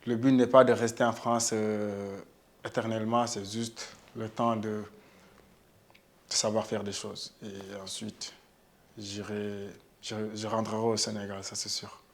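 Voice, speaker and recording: A man's voice: lowish voice, flat delivery, measured pace. A young man from Senegal who studied film, speaking earnestly in a documentary interview and often repeating words as he searches for them.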